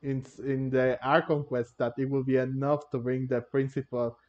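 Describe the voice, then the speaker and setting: A man giving casual, rambling commentary on a game playthrough, halting and repeating himself. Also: medium pitch, flat delivery, lo-fi sound. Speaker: gamer, video host. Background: game music.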